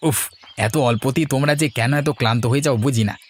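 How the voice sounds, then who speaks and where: A man's voice: mid-range voice, fast talker, close to an everyday voice. Man acting a boastful, tireless village lad. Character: boastful tireless young village porter companion. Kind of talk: cartoon fairy-tale voice acting.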